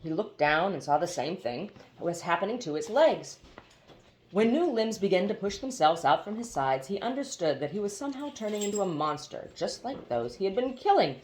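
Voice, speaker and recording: Woman reading a story with very lively intonation. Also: medium pitch, moderate pace, a little hiss or hum. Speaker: audiobook reader. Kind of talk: expressive storybook narration.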